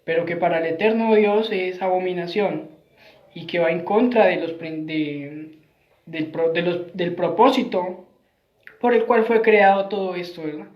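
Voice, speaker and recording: A man's voice: high voice, unhurried. A man giving an earnest Bible teaching sermon, repeating his phrases and often ending with tag questions. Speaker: Messianic preacher. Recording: roomy, dull lo-fi sound.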